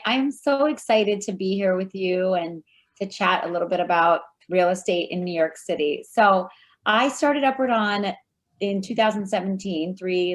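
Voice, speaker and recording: A woman giving friendly, measured answers as a guest in an interview. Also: lowish voice. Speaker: guest, recruiting firm founder.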